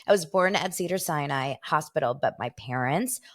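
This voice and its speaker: American female podcast host, forties, slightly low register, informal and jokey, chatting directly to her audience. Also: lively intonation, moderate pace. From Los Angeles, United States. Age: 40s. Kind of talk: casual solo entertainment gossip chat.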